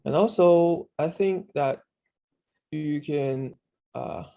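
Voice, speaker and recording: A man giving a screen-share tutorial on searching a database, speaking haltingly with many fillers and restarts. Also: a little high, creaky, dark tone, muffled recording. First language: Chinese. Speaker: instructor demonstrating a database.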